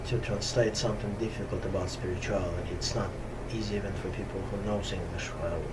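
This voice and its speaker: A man from Russia speaking English with a Russian accent, talking slowly and hesitantly with many pauses and fillers in a casual spiritual talk to camera. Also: sits low, fairly even intonation, heavy background noise. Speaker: yoga teacher. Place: top-floor room at home on a hot day.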